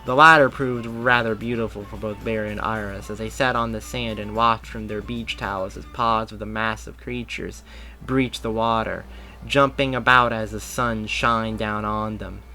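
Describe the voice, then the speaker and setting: A man reading a fan-written story aloud in a steady narrating voice. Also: lowish voice, normal speed, soft background music, rough recording. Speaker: reader of fan fiction.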